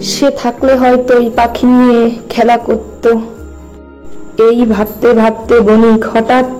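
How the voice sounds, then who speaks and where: A woman narrates a cartoon fairy tale in a fairly high voice. Her delivery is scripted storybook narration, set over clearly audible music. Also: level tone, a little slow, warm tone, poor audio.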